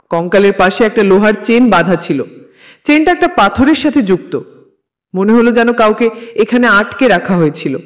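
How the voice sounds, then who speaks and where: A man reading a scripted first-person horror story in a dramatic, suspenseful storytelling manner. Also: very high-pitched.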